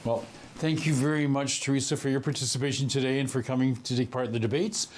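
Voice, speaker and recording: A man hosting a local election interview, asking questions in a measured, formal way. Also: mid-range voice, steady tone, darkish tone. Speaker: host. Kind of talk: broadcast debate interview.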